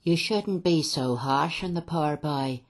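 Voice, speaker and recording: A man voicing a stern, sensible lady in a storybook, with a measured, chiding manner. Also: on the high side, pitched above the performer's own voice. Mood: rebuking.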